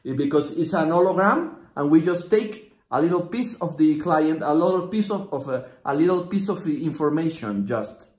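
Man, 40s, native Spanish speaker, slightly high voice, halting, many fillers, echoey room. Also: animated, a little mellow. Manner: halting, many fillers and restarts, acts out client dialogue. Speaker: systemic consultant teaching a method. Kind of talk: explaining a method at a whiteboard. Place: small room with whiteboard, indoors.

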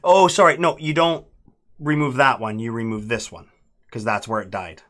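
A middle-aged man co-hosts a board game playthrough in a casual, conversational way. His voice sits at a medium pitch, and he tends to explain the rules and guide his fellow player. He is speaking indoors at a game table. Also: steady tone, normal speed, a bit bright.

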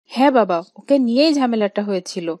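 A woman's voice: fairly high pitch, expressive, brisk, a little mellow, dry recording, clean studio sound, close to an everyday voice. A woman voicing a polite, earnest young schoolboy in a children's cartoon. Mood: explaining. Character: polite, earnest little schoolboy talking with his father. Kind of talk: cartoon dialogue acting.

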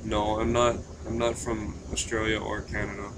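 Man with slight background noise, halting delivery. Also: fairly low pitch, completely flat delivery. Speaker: live streamer, prank caller. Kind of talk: casual livestream chat and prank phone call.

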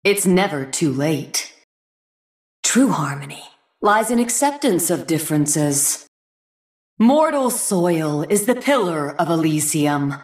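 A slow, stately celestial guardian. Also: female voice, on the low side, roomy sound. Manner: stately, measured, lofty declarations. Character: serene, ageless celestial guardian woman, solemn and benevolent. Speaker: game character voice. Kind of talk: scripted fantasy game voice lines.

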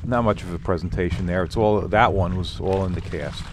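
A man's voice: low-pitched, fairly quick, slight background noise. A man narrating his fishing trip from a kayak outdoors, talking casually and thinking aloud with fillers and restarts. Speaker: fishing vlogger. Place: kayak on open water outdoors. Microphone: action camera on the kayak.

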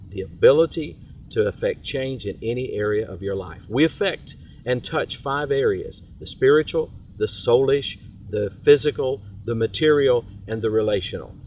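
Middle-aged male pastor, outdoor devotional, earnest and steady, mid-pitched voice, faint outdoor hiss behind. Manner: earnest, steady preaching with hand gestures. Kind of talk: short devotional message. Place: outdoors in a park, on a bench.

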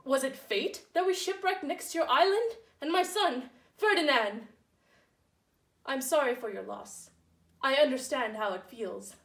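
A woman's voice: high voice, measured pace, fairly bright tone. A young woman acting commanding, theatrical old-world lords in an amateur sock puppet show. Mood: emotional. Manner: theatrical, declamatory. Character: commanding, forgiving sorcerer and grieving king, sock puppets. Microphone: phone camera at a distance. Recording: roomy, distant phone camera sound.

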